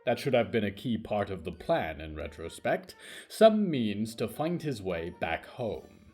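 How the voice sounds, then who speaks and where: A man narrating scripted horror fiction in a theatrical, deliberate and ominous manner. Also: on the low side, very animated, a little mellow, faint music behind.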